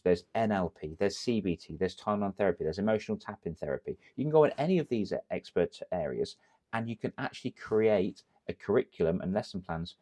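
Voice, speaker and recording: An English man, a confidence coach, giving energetic direct-to-camera business advice full of rhetorical questions. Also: low-pitched. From England.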